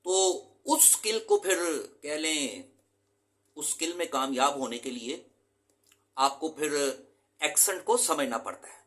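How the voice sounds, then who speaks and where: Bright, emphatic male English teacher. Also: on the high side, expressive, unhurried. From Pakistan. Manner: earnest, emphatic, mixes in English terms. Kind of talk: motivational lecture to students.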